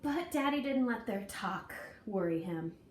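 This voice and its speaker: A woman reading a children's story aloud in an expressive, folksy, deliberate way with dramatic emphasis. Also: medium pitch. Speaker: storybook reader. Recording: echoey room, distant mic, faint noise.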